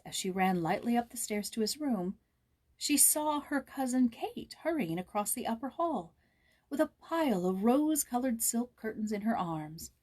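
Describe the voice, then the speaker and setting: A woman's voice: medium pitch, a little mellow. A woman hosting a read-aloud, narrating a children's novel. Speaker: storybook reader and host.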